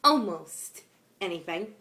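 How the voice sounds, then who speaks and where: A woman's voice: lowish voice, expressive, slow, bright, forceful tone, exaggerated voice. A young woman voicing an eager, bold female pegasus pony in a story reading. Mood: confident. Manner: eager, exclaiming.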